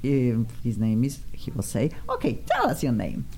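A woman with a Polish accent hosting a casual studio interview, chatty and effusive, flattering her guests. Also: very deep voice, normal speed, husky. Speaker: radio show host. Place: radio studio.

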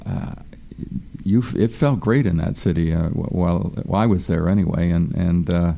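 A man co-hosting an interview, speaking haltingly with many fillers. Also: low voice, creaky, dark tone. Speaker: co-host.

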